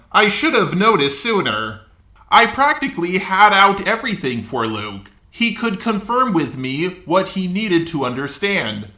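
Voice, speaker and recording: A synthetic, robotic man's voice reading scripted commentary in a stiff, deadpan way. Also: high-pitched, reverberant. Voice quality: synthetic robotic text-to-speech voice. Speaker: YouTuber commentator. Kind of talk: scripted drama commentary narration.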